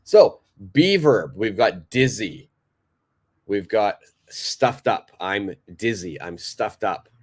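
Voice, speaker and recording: A man teaching English in a live online lesson, who speaks slowly and deliberately, repeats key words for his learners, and has a stuffed-up nose. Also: fairly low pitch, animated, breathy edge.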